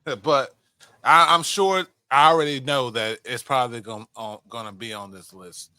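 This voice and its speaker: A man's voice: medium pitch, fairly even intonation, moderate pace, bright, forceful tone, clear, resonant tone, no room sound. A man hosting a podcast in casual chat, swearing freely as he talks. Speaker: podcast host.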